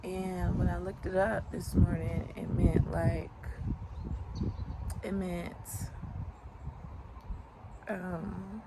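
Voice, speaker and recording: A woman in her late twenties talks slowly and easily in a casual vlog, her voice gravelly with fry. She rambles reflectively with lots of fillers, recorded outdoors on a sunny morning with clear outdoor ambience behind her. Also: lowish voice, very noisy. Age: late 20s. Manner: relaxed, rambling, many "like" fillers. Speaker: vlogger.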